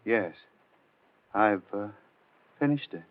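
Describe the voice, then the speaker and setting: An actor playing a controlled, bitter jilted man hiding murderous hatred, quiet and ominous, in 1940s radio horror style. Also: on the low side, lively intonation, slow. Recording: muffled old 1940s radio broadcast.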